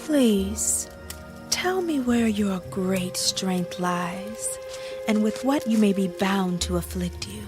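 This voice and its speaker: An actress playing a seductive, scheming ancient temptress who coaxes and pouts in a dramatic audio Bible production. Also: female voice, medium pitch, takes it slowly, over music, lightly acted. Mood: coaxing.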